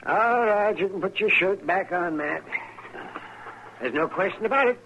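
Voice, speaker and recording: A crusty older American doctor of the Old West, teasing and wry. His fairly high voice rises and falls a great deal. The old radio drama recording is muffled. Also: normal speed. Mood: wry, grumbling. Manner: crotchety, wry, animated. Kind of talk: 1950s radio western acting. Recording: dull, faintly noisy vintage radio recording.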